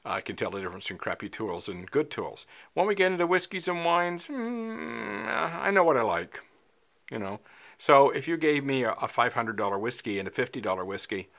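Bright-voiced older man chatting to camera. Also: medium pitch. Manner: conversational, many fillers, animated hand gestures. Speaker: fly fishing vlogger. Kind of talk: casual talk to camera. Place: small indoor studio, dark backdrop.

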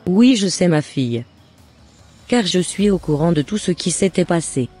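A man's voice: sits high, animated, moderate pace, dark tone, breathy edge. A man voicing a devout elderly mother in a cartoon, speaking in a pious, formal way. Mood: relieved, devout. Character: devout, relieved elderly mother of grown daughters. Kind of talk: scripted cartoon dialogue. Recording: music under the voice.

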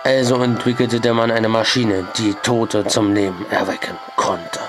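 A man's voice: on the low side, level tone, normal speed, over music. A young man with a slightly strained voice from a cold, hosting a let's play and reading the game's story aloud with many hesitant fillers. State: has a cold, voice a bit strained. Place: small bedroom with posters. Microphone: headset.

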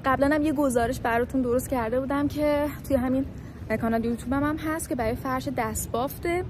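A young woman vlogging from inside a large factory hall, chatty and excited, laughing often. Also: high voice, noisy background. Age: young adult. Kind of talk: casual vlog report. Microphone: handheld phone camera close up.

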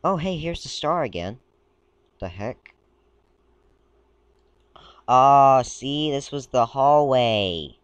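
Halting young-sounding man, a bit sick. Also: mid-range voice, lively intonation, clear, resonant tone, no room sound. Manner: rambling, halting, reads aloud with stumbles. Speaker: gaming video creator. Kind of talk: casual screen-recording commentary.

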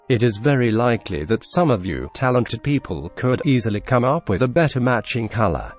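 A young man with a Russian accent narrating a software tutorial in a deadpan, dryly absurd scripted voice-over. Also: medium pitch. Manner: deadpan, dry absurd humor.

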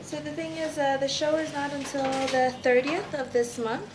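A young woman from southern Sweden, a native Swedish speaker, chatting playfully on a city vlog with animated gestures, recorded on a camera mic. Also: high-pitched, moderate pace, noisy background. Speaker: model and vlog guest. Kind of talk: casual vlog chat. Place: cafe, shops and street in a city.

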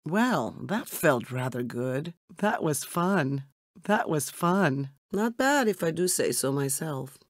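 An actress voicing a cool, poised female secret-agent assistant in a superhero video game, measured, composed and formal. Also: low-pitched, lively intonation, no echo, clean studio sound, heavily acted. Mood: cool, pleased. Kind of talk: scripted game voice clips.

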